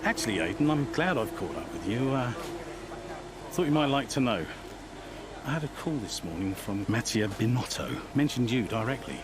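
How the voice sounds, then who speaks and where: A man's voice: medium pitch, big pitch swings, normal speed, natural voice. A man playing a smug, chummy racing team boss in a video game, breezy and slyly insinuating. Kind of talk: cinematic video game acting. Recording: clear outdoor vehicle and ambience noise.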